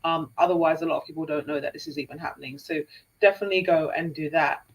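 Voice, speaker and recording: A woman from Jamaica co-hosting a live Q&A stream, reading out viewer questions in a brisk, upbeat way. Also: low-pitched, fairly even intonation, rough recording. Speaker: co-host.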